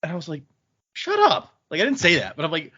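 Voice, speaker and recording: A man's voice: a little high, huge pitch swings, fast talker, pushed and bright, breathy edge, highs cut off. A man hosting a casual book podcast, recapping the story conversationally and loosely voicing characters' lines, with some swearing. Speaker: podcast host recapping a novel.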